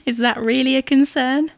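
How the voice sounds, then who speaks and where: A British actress playing an exasperated middle-aged wife in a domestic audio sitcom, with dry, bossy, gently teasing delivery. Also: female voice, sits high, a little slow, gravelly. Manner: dry, scolding, sarcastic asides.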